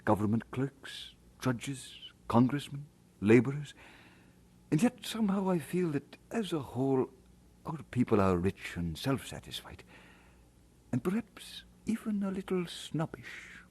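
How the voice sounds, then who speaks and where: An actor playing an earnest, modest Scottish minister in an audio drama, with a husky voice and expressive delivery. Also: male voice, mid-range voice, measured pace. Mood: troubled, reflective.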